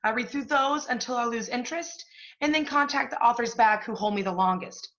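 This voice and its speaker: Woman acting a brash, high-voiced literary agent. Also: fairly quick, a bit bright, lo-fi sound, close to an everyday voice. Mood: cynical, emphatic. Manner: blunt, profane, domineering. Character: brash, foul-mouthed, impatient female literary agent. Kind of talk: one-act stage play dialogue.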